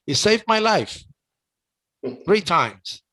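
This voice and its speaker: A man's voice: high-pitched, very animated, takes it slowly, pushed and bright. A middle-aged man with a Cuban accent hosting a casual chat, talking emotionally with many restarts. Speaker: host.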